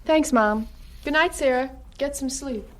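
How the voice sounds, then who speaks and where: A woman's voice: sits high, measured pace, background noise. A woman playing an earnest, concerned older sister in a radio drama, coaxing and reproachful.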